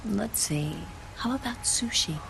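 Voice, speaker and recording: A woman's voice: mid-range voice, animated, a little slow, creaky, background noise. An actress playing a confident, seductive female spy in a video game, teasing and coy in her delivery. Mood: flirtatious. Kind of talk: video game cutscene acting. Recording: music behind the voice. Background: music.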